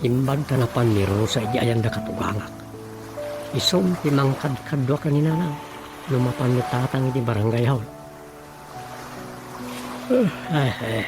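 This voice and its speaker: A man's voice: medium pitch, normal speed, pauses often, loud music behind the voice, close to an everyday voice. A man acting a musing villager who thinks aloud in an Ilocano radio comedy, with an Ilocano accent. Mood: pondering. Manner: thinking aloud, with hums and sighing interjections.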